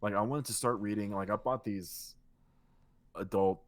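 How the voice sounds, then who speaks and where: Male co-host, low and soothing, loose rambling delivery, casual profanity. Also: normal speed, no room sound. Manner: rambling, relaxed, swears casually. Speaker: podcast co-host and streamer. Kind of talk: casual chat.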